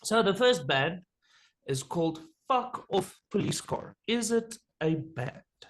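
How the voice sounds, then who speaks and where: A South African man with Afrikaans as his first language, hosting a relaxed, joking interview. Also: sits high, slow. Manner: relaxed, joking, swears casually. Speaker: interview host. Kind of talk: casual interview.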